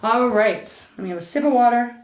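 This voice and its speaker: A woman's voice: fairly high pitch, fairly quick, roomy sound. A woman giving a casual tarot reading, chatty and soothing, her voice a little scratchy and stuffed up. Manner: chatty, soothing, addresses listener as Saggy. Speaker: tarot reader.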